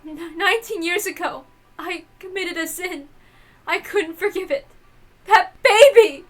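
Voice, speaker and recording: A woman's voice: extremely high pitch, a little slow, faint music behind, voice pushed up. A woman voicing an anguished married mother in a visual novel, confessing with dramatic, sobbing grief. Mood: anguished confession.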